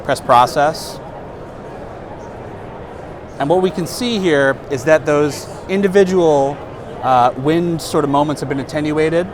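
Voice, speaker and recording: A man in his thirties, a product specialist, giving a relaxed, explanatory trade-show demo on a lapel mic. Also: on the high side, unhurried, long pauses. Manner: relaxed, explanatory, casual fillers. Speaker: product specialist demonstrating software. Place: trade-show booth, indoors. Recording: noisy trade-show booth.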